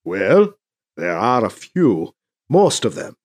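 Man voicing an exasperated, cautious church leader, lively pitch. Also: slow, full character voice. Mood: resigned.

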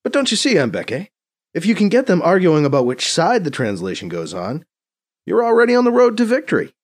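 Man voicing an impatient, high-pitched, irreverent former pope. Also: huge pitch swings, fairly quick, full character voice. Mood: eager. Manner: sarcastic, exclamatory.

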